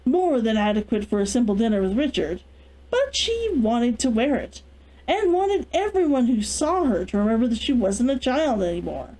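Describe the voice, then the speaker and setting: Female read-along host, lively intonation, soft-edged tone, storytelling and casual chat. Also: on the high side, moderate pace, low-quality recording.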